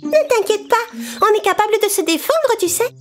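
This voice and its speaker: A woman voicing a tiny, excitable mouse with a thin, squeaky voice in a children's cartoon tale. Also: brisk, faint music behind. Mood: plucky. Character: tiny squeaky mouse friend, eager and loyal.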